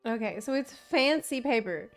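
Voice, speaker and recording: A woman's voice: a little high, expressive, moderate pace, soft background music. A woman game streamer giving casual, musing, playful live commentary.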